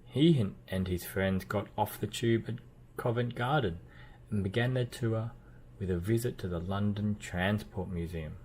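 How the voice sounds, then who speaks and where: A man reading a children's storybook aloud as narrator, with expressive delivery and playful emphasis. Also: fairly low pitch, normal speed, slight background noise. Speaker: children's book reader.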